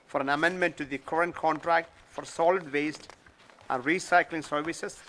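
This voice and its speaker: A man's voice: on the high side, steady tone, fairly bright tone, a little hiss or hum. Man chairing a council meeting. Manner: measured, procedural. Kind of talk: formal meeting procedure.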